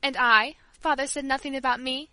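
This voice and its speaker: A woman reading aloud puts on a bitter, bedridden teenage girl who snaps and scolds. Her voice is high and bright, close and dry in the recording, with a sharp, irritable edge. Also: steady tone, a little fast, no echo. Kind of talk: audiobook character voice.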